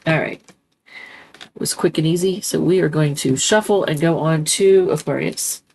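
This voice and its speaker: A woman's voice: deep voice, normal speed, gravelly, darkish tone, poor audio. A woman with a congested voice from a cold, chatting loosely and rambling as she reads tarot cards. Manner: rambling, chatty, self-correcting with many ums. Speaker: tarot reader. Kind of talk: casual tarot reading.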